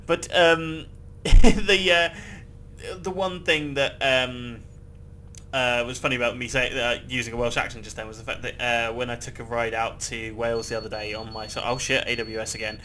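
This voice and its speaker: A man's voice: medium pitch, fairly even intonation, moderate pace, bright, forceful tone, a little hiss or hum. A man casually chatting and rambling while he plays a game, swearing freely and laughing often. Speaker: gameplay video host. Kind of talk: casual gameplay commentary.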